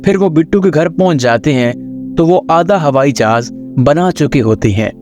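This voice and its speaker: A man with a fairly high voice narrates a scripted children's tale. He serves as the storyteller, with some music playing underneath. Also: expressive, normal speed.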